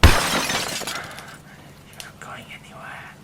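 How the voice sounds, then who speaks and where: Man doing a whispering yandere werewolf. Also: close to an everyday voice. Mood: menacing. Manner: slow, soft, threatening whispers. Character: menacing yandere werewolf, a young man who was a childhood friend. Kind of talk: ASMR roleplay.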